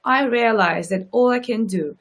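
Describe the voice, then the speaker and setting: A woman's voice: a little high, normal speed, a bit bright. A woman from Russia with a subtle Russian accent, hosting an interview show as a coach, speaking earnestly and repeating points for emphasis. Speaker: talk show host and coach. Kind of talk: casual interview chat.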